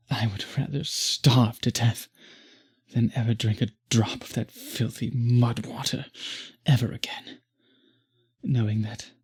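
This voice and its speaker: A man voicing a courtly, possessive vampire in an intimate roleplay audio, with formal, florid, beseeching speech and a breathy voice. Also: mid-range voice, darkish tone. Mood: rapturous. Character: courtly, obsessive, possessive male vampire pleading with the listener.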